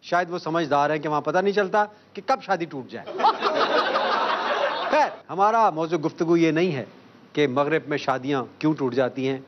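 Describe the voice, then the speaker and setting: A man hosting a satirical TV show, smooth and teasing as he sets up jokes. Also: fairly high pitch, moderate pace. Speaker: show host. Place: TV studio. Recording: slightly dull TV broadcast sound, faint hiss.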